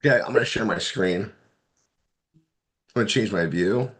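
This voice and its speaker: Hoarse man running a live webinar. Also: moderate pace. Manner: loose, repetitive, upbeat pitch. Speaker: online marketing trainer.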